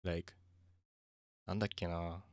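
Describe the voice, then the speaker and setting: A man with a Japanese accent, chatting casually on a livestream, halting and searching for words with frequent soft laughs. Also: low-pitched, flat delivery, no echo, studio quality. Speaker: streamer.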